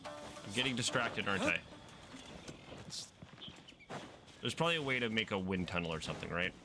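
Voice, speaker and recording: A man's voice: lowish voice, animated, normal speed. A man giving casual, off-the-cuff commentary while he plays a game, musing aloud and teasing the characters. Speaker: gamer commentating on playthrough. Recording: music playing behind the voice. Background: game music.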